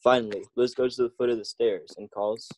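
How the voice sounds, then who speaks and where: A man's voice: medium pitch, fairly even intonation, brisk, no echo. A man announcing a scripted old-time radio comedy as its narrator.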